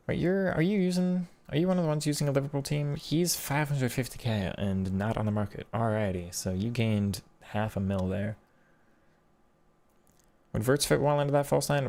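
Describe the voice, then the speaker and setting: A man's voice: lowish voice, gravelly, a little mellow. A man streaming a football video game, answering chat casually and thinking aloud with frequent restarts. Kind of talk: casual live-stream commentary reading chat.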